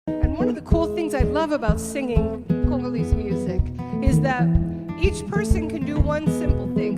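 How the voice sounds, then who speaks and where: Upbeat woman leading a singing class. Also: sits high. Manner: upbeat, encouraging, explains then sings call-outs. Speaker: music workshop leader. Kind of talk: teaching a singing workshop.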